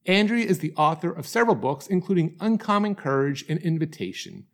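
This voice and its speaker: A man's voice: a little high, very animated, brisk. A man hosting an interview podcast in a friendly way, with small restarts as he talks. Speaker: podcast host.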